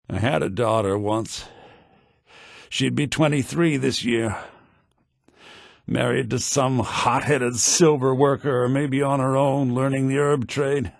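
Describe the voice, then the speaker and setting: An actor playing a vengeful, middle-aged rebel leader held prisoner in a video game, brooding and intense. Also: male voice, on the high side, creaky, a bit bright, slightly rough, no room sound, clean studio sound, exaggerated voice. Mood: bitter, brooding. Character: bitter, vengeful male rebel leader imprisoned in a mine. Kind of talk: video game character dialogue lines.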